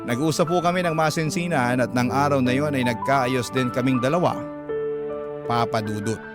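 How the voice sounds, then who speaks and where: A man's voice: medium pitch, moderate pace. A man narrating a scripted love story for radio, told as a dramatic, confessional first-person account. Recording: piano music behind voice. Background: piano music.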